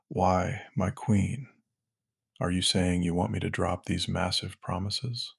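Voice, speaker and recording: A man's voice: sits low, little pitch movement, slow, vocal fry, breathy edge, dry recording, clean studio sound, full character voice. A man playing a devoted, flirtatious lover in intimate romantic dialogue, teasing and suggestive in manner.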